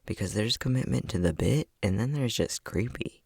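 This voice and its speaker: Man playing a husky, breathy unhinged scientist. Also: on the low side, expressive, moderate pace, darkish tone, full character voice. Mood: weary, bossy. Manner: sardonic, teasing, condescending, with frequent sighs. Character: unhinged scientist, bossy, sardonic, theatrically playful captor. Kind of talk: ASMR roleplay acting.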